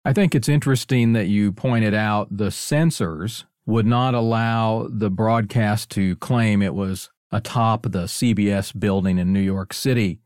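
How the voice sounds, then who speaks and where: Low-voiced man hosting an interview. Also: darkish tone, dry recording, studio quality. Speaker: podcast host. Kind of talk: conversational interview.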